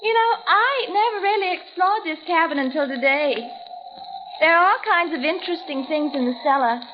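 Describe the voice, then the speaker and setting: An actress playing a cruel woman who taunts with false sweetness, in old-time radio drama style. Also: very high-pitched. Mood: sly menace. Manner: mock-solicitous, taunting, sardonic, drawn-out endearments. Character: cruel, mockingly sweet woman tormenting her blinded rival. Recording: dull, very noisy old radio recording.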